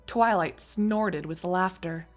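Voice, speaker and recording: A woman's voice: fairly low pitch, slow, soft background music. A woman narrating a scripted story as its narrator, reading in prose between characters' dialogue.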